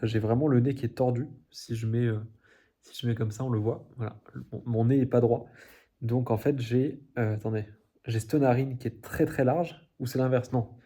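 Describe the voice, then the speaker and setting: A man's voice: medium pitch, fairly even intonation, moderate pace, warm tone. A young man chatting casually to the camera in a daily video diary, rambling with many fillers and restarts and laughing at his own slips. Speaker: vlogger.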